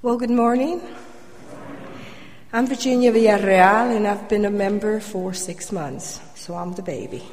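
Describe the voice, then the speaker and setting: An older woman from Colombia giving a prepared personal testimony to a church congregation, measured and wryly humorous. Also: medium pitch, darkish tone, slight background noise. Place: church, addressing congregation through a microphone. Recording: reverberant hall.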